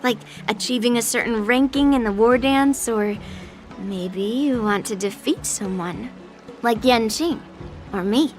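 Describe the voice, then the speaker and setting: A woman's voice: fairly high pitch, measured pace, soft background music, natural voice. A woman voicing a confident, frank, teasing young female swordmaster in an English video game, blunt and breezy. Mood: probing, confident.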